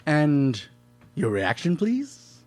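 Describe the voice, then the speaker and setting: Man playing a pompous, high-strung abstract painter. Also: wildly swooping pitch, extremely slow, darkish tone, exaggerated voice. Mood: eager, self-important. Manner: florid, haughty, theatrical diction. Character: pompous, indignant, thin-skinned male abstract painter. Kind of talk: live stage-like radio play acting.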